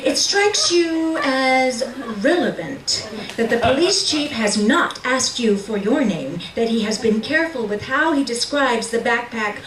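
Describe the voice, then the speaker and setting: A woman reading literary fiction aloud at a live reading, with measured, deliberate delivery and dramatic pauses. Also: fairly high pitch, lively intonation, fairly bright tone. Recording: roomy, distant mic with faint noise.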